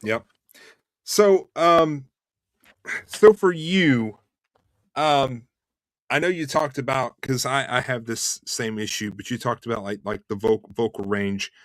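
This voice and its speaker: A young male host and tribute singer chatting casually over a video call. His voice sits at an ordinary middle pitch. The sound is dry and close, and he talks in loose, repeating bursts full of "man" and "dude". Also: slow, pauses often, no room sound. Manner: relaxed and chatty, repeats words and says "man" and "dude" a lot. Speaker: host and Elvis tribute artist. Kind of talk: casual interview chat.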